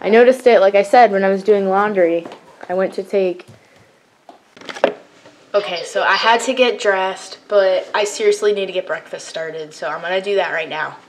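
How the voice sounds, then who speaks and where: A woman's voice: medium pitch, normal speed. Chatty young woman vlogging, noisy recording. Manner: rambling, chatty self-narration. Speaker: family vlogger, mother of a baby. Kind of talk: casual chat to camera. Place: at home, indoors. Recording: clearly noisy home recording with clicks.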